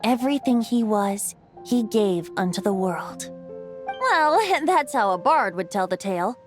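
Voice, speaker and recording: A woman's voice: mid-range voice, lively intonation, measured pace, music in the background, natural voice. A woman voicing a playful young male bard who talks in a lilting, teasing storyteller way in a video game.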